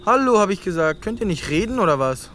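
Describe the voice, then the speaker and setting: A skinny teenage headbanger with a lightly high, very lively voice. He talks in a cocky, goading way, showing off as in a radio play. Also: fast talker, faint music behind. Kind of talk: audio drama acting.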